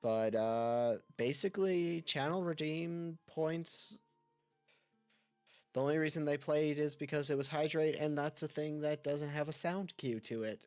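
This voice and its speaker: A woman's voice: low voice, unhurried, a little mellow, soft background music. A woman streamer talking expressively and with exasperation while playing a game on stream. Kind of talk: gaming livestream commentary.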